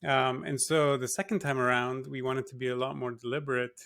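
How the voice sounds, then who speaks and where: Guy from singapore on a podcast, kinda gravelly voice, lots of ums and you knows. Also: medium pitch, little pitch movement, a little fast, fairly bright tone. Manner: thoughtful, with many fillers and restarts. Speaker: guest, tech company co-founder. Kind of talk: casual interview talk.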